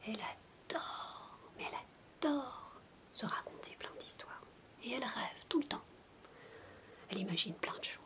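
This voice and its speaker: A woman doing soft-spoken ASMR storytelling, playful and whispery, wandering into chatty asides as if to a child. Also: on the high side, lively intonation, moderate pace, long pauses. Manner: playful babysitter addressing a child listener. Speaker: ASMR roleplay performer.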